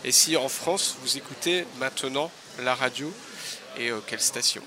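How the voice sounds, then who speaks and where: A man's voice: medium pitch, normal speed. A man leads a radio workshop outdoors and puts his questions to a group of students one by one. His tone is patient and friendly. A busy crowd murmurs all around him. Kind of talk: hosting a group introduction. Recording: busy crowd chatter behind.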